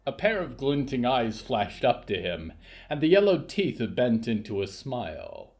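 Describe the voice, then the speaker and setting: A man's voice: mid-range voice, big pitch swings, unhurried, a little mellow, faint music behind. A man narrating a scripted fiction podcast as storyteller.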